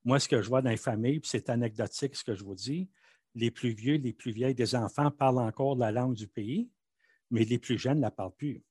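Frank Canadian French man, husky voice. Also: medium pitch, brisk, a little mellow, no echo, clean studio sound. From Manitoba, Canada. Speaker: interviewee. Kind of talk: interview answers.

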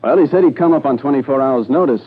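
An actor playing a confident, persuasive crooked gold dealer in a 1950s radio drama, easygoing and colloquial. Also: male voice, medium pitch, quick pace, breathy edge, lightly acted. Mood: persuasive, eager. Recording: narrow-band vintage 1950s radio recording.

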